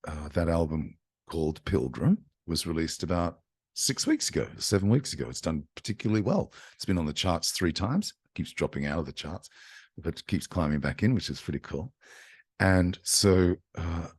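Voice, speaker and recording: Man, singer-songwriter, low deep voice, chatty storytelling, video call. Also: normal speed, husky. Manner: chatty, animated storytelling with frequent restarts. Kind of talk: casual talk to an online audience.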